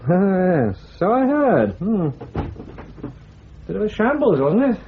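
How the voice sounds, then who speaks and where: An actor playing a peevish British police colleague in a radio drama, fussy and sarcastic. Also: male voice, high-pitched, big pitch swings, slow pace, background noise, full character voice. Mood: snide. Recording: muffled radio drama recording.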